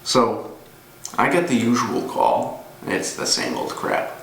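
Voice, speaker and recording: Man acting a grim older ex-cop, slow and roomy. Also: mid-range voice, sing-song, vocal fry, a bit bright, full character voice. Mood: grim reminiscing. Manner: deliberate storytelling with pauses. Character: gruff weary older male ex-cop fixer, grimly menacing. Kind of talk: dramatic monologue performance. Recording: roomy, distant mic with noise.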